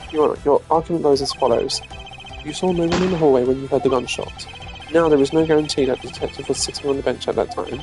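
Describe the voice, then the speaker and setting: A man voicing a composed, dry-witted young prosecutor in a courtroom video game, formal, measured and sardonic. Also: fairly high pitch, darkish tone, soft background music, natural voice. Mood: pressing. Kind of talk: game character voice-acting.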